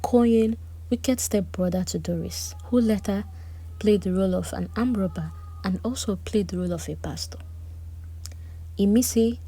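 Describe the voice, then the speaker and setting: A woman gives a chatty, animated recap of a reality-show drama, with personal asides. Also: lowish voice, husky, rough recording. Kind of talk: casual recap commentary.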